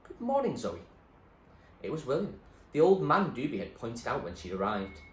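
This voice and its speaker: A man reading a novel aloud with measured storytelling, in an echoey room. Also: medium pitch, very animated. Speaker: reader. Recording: echoey room, faint noise.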